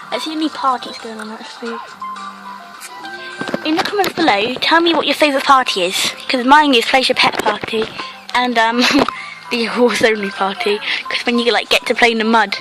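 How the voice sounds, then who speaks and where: Female game host, croaky from a cough, rambling delivery, fairly high pitch, music underneath. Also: rough recording. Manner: rambling, lots of "like" fillers. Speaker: gaming channel host. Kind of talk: casual gameplay commentary.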